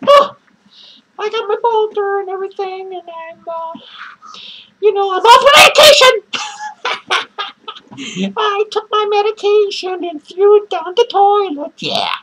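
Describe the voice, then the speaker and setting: A woman playing an unhinged, drunk male clown who rambles crudely, cackles manically and lurches between shouts and mutters. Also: very high-pitched, normal speed, heavy background noise. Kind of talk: improvised character monologue to viewers.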